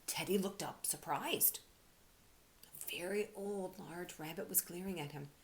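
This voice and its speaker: A woman giving an expressive read-aloud for children as a storyteller and teacher. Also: on the low side, a little slow.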